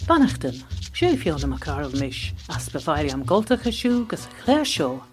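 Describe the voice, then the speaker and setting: A woman presenter reads an upbeat scripted welcome with lively rises and falls in her voice. A faint music bed plays behind her in this archive radio clip. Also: low voice, normal speed.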